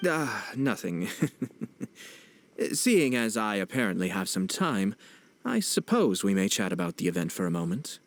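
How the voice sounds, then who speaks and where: Smooth-mannered young lord in a game, kinda low voice, formal n calm, a bit wry. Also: male voice, slight background noise. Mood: amused. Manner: formal, measured, politely wry. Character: poised young nobleman, courteous, composed and subtly teasing. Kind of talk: video game voice acting. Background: background music.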